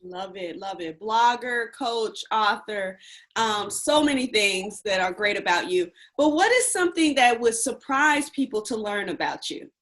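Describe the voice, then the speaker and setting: A woman's voice: mid-range voice, expressive, measured pace, fairly bright tone. A woman hosting an interview, asking questions and giving enthusiastic praise in a casual chat. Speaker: interview host.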